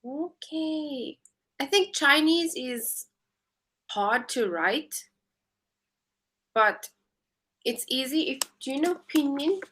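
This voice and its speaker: A woman's voice: high voice, lively intonation, takes it slowly, pauses often, fairly bright tone, poor audio. A woman in her twenties with a South African accent, chatting as an English tutor on a video call and explaining things patiently. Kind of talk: casual video-call conversation.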